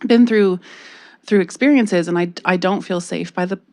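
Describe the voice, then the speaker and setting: A woman with a Canadian accent speaking softly and hesitantly into a podium microphone, giving public comment in a council chamber. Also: fairly low pitch, moderate pace. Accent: Canadian English. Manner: soft-spoken, hesitant, earnest. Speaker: public delegate.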